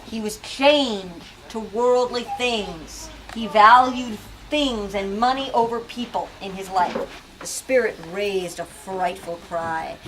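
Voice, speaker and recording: A woman leading a lively book talk in a bookstore, asking questions and switching into dramatic readings. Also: medium pitch, takes it slowly. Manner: animated, asks questions, shifts into dramatic reading. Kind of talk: dramatic reading and discussion with children. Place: bookstore event space. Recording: distant mic, noisy room.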